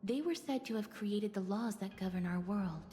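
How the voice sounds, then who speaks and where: An actress voicing a solemn, soft-spoken female figure in a fantasy video game, speaking formally and breathily. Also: mid-range voice, normal speed, a little hiss or hum, close to an everyday voice. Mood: solemn, explanatory.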